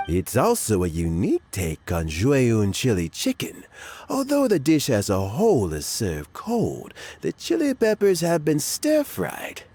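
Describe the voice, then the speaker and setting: An actor playing a genial male food judge in a video game, commenting in a deliberate, savoring manner. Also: fairly low pitch, a little mellow, heavily acted.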